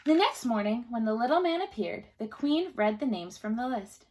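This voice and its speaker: A woman's voice: a little high, very animated, normal speed, a little mellow. A woman teacher reading a story aloud to children, expressively, with chatty questions to the listeners.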